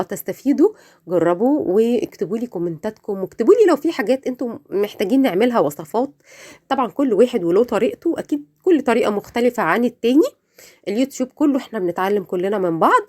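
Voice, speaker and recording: A woman's voice: fairly high pitch, darkish tone. A woman with an Egyptian Arabic accent giving a chatty, effusive cooking voice-over, her voice slightly off from a cold. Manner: effusive, repeats words for emphasis, addresses viewers directly. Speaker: home cook hosting a recipe channel.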